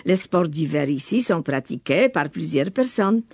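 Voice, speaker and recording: A woman's voice: low voice, lively intonation, a little mellow, slightly rough, dry recording, clean studio sound. Older woman, careful tinged French. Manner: measured, careful, occasional hesitations. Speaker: radio studio guest. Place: studio.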